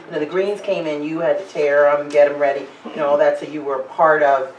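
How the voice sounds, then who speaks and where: A man's voice: a little high, steady tone, a little fast, a little hiss or hum. A man in the audience sharing an earnest, reflective thought, with many "you know"s. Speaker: audience member. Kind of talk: speaking up from the audience. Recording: dull, roomy sound from far off.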